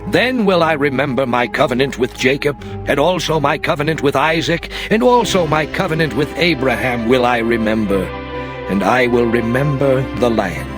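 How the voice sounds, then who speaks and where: A man's voice: mid-range voice, lively intonation, normal speed, natural voice. A man playing God as a stern, solemn deity in a dramatized King James scripture reading, declaiming in archaic diction. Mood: solemn, resolute. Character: God, stern male deity pronouncing curses and covenant. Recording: music behind the voice. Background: clear background music.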